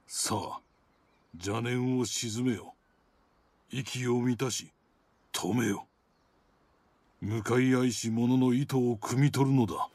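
An actor playing a stern, calm older samurai sword master in a game, solemn, measured and commanding. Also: male voice, medium pitch, no room sound. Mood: stern, instructive. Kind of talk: samurai game drama acting.

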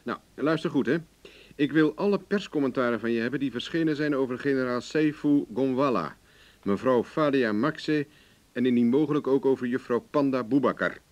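An actor playing a cocky, wry, hard-drinking investigator in a radio play, speaking in a dry, self-assured way. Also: male voice, medium pitch, moderate pace, natural voice. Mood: brisk, wry. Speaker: actor in the lead role. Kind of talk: Dutch radio play acting. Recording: dull, lo-fi old radio recording.

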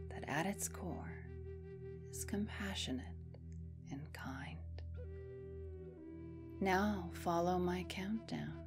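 A woman in her thirties speaking as a hypnotherapist in a soothing ASMR guided hypnosis, soft and breathy, often slipping into a whisper, close to the mic. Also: lowish voice. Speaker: hypnotherapist, host. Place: small dim indoor room, close up. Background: soft music.

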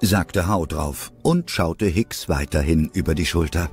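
A man's voice: deep voice, a little slow, faint music behind. A man narrating a children's adventure radio play as a storyteller, in a scripted style.

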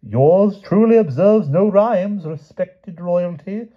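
Pedantic, high-pitched foreign knight. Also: male voice, animated, slow pace, heavily acted. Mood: doubtful, polite. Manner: formal, stilted phrasing with dropped articles. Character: exacting, exasperated foreign knight, pedantic poetry tutor. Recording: dull, muffled lo-fi audio.

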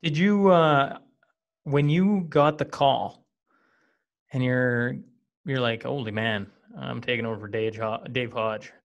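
A man's voice: medium pitch, takes it slowly, creaky, muffled recording. A man in his thirties from small-town Western Canada, hosting a podcast at a studio microphone, eager and casual as he asks long winding questions. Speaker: podcast host. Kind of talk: casual interview. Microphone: studio microphone with earbuds.

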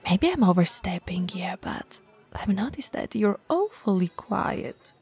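A woman playing a very tall, friendly, teasing bento shop owner in an ASMR roleplay, speaking softly and breathily, sometimes dropping into a whisper. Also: mid-range voice, big pitch swings, unhurried. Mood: casual, sweet.

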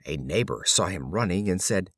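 A man narrating short fables as an audiobook reader, with an expressive, deliberate storytelling delivery. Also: deep voice, dry recording, clean studio sound.

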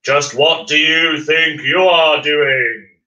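A man voicing a commanding male creator of the Earth in a storybook, with a big, loud, firm voice. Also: on the high side, reverberant, distant mic, lo-fi sound, pitched above the performer's own voice, full character voice. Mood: stern, challenging. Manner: firm, slow, authoritative.

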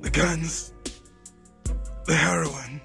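A man's voice: a little high, steady tone, drawn-out words, vocal fry, bright, forceful tone, heavily acted. A man playing a desperate, wounded undercover agent, strained and gasping, in a video game. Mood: desperate, pleading. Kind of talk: video game cutscene acting. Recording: music behind the voice.

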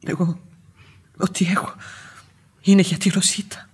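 An actress playing a humble, devoted, sharp-tongued housemaid in a vintage Greek radio play. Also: female voice, on the low side, level tone, husky. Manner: plain-spoken, self-effacing. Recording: old radio recording with background noise.

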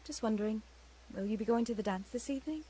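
A woman's voice: a little high, fast talker, dark tone, slight background noise, close to an everyday voice. An actress playing a friendly young village woman in a medieval video game. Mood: friendly, shy. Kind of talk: dramatic video game cutscene acting.

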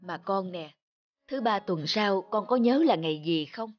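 An actor playing a gentle, doting elderly working-class father in a cartoon drama, speaking in a tender, plain, unhurried way. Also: high voice, expressive, dull audio. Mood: hopeful.

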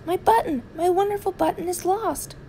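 Eager small boy for a storybook, voiced by a woman, earnest and simple, raised pitch. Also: moderate pace, mellow, a little hiss or hum. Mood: upset. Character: trusting, eager little boy Joseph.

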